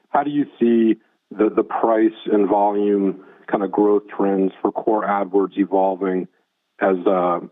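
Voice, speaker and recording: A man asking a conversational question as a financial analyst on an earnings call, over a phone line. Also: low voice. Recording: thin narrowband phone line.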